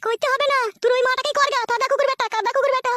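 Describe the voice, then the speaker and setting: Cartoon wife role: extremely high, very fast, jealous, abusive, pitched-up effect. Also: steady tone, bright, forceful tone, no room sound. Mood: angry. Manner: ranting, insulting, rapid-fire quarrelling. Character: shrill, foul-mouthed jealous village wife in cartoon. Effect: pitched-up, sped-up voice. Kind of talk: comedy cartoon dubbing with altered high-pitched voice.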